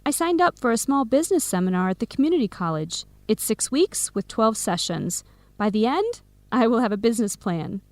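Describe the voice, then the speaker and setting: Enthusiastic best friend, high-pitched. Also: female voice, sing-song, a little fast, no room sound. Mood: eager, chatty. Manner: clearly phrased scripted explanations. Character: Samira, Helen's enthusiastic American best friend, aspiring business owner. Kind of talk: English-learning radio drama acting.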